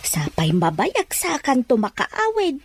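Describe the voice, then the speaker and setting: A woman's voice: high voice, wildly swooping pitch, a little slow, breathy edge, heavily acted. A woman playing a wistful, loving older Ilocano mother in an audio drama, speaking with an Ilocano accent. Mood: worried.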